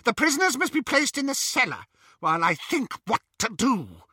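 A man's voice: very high-pitched, very animated, moderate pace, sharp tone, slightly rough, dry recording, studio quality, pitched above the performer's own voice, exaggerated voice. A man voicing an imperious, frightening witch on the edge of madness, commanding and volatile, in an audiobook story. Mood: frantic fury. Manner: shrieking, commanding.

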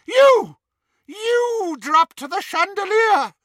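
A man's voice: big pitch swings, slow, sharp tone, no room sound, studio quality, heavily acted. A man voicing a cruel, menacing witch who sneers and shrieks, raising his voice well above his own. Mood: shrieking. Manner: sneering, shrill. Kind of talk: audiobook character voice.

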